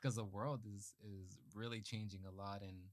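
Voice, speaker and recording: A man's voice: lowish voice, level tone, normal speed, dry recording, studio quality. A man in casual podcast conversation, speaking earnestly and repeating key phrases for emphasis. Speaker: podcast host.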